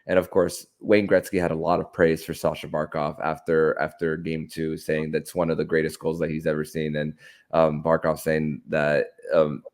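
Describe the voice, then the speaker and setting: Male sports podcast host, low voice, fairly flat intonation, halting conversational analysis with repeated words. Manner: halting, stammering repetition of words.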